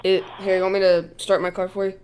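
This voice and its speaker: Man, prank caller, smooth clear voice, flat intonation, earnest, clueless manner. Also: sits high, fast, low-quality recording. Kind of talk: prank phone call chat.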